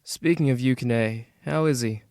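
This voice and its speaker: A woman plays a laid-back, teasing young man, the big brother of the family. The voice is very low and smooth, in a close, dry audio drama recording. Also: normal speed, a little mellow, no room sound. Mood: casual, easygoing. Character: easygoing, teasing grown-up son and big brother. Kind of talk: scripted audio drama, anime parody.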